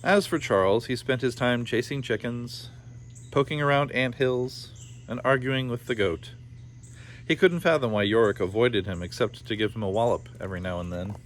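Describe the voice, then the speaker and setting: A man's voice: mid-range voice, normal speed. A man reading a children's story aloud in a playful style, with informal asides. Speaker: storybook reader. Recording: clear background noise behind the voice.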